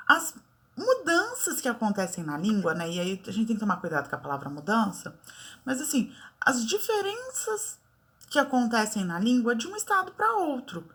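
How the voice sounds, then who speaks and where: A Brazilian woman teacher giving a relaxed lesson, talking in a chatty way and often checking in with her students. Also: fairly high pitch. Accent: Brazilian Portuguese. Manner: chatty, many fillers like "né" and "tá". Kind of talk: casual online lesson.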